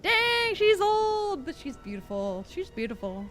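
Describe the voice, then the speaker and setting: A woman streaming a game, chatting casually with her viewers in a rambling, expressive way. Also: extremely high pitch, a little slow.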